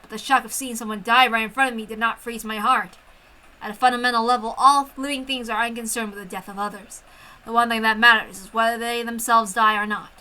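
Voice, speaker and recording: Bright, higher-pitched woman reading dramatically. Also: fairly quick, low-quality recording. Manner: expressive, intense. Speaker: amateur story reader. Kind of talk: dramatic first-person story narration.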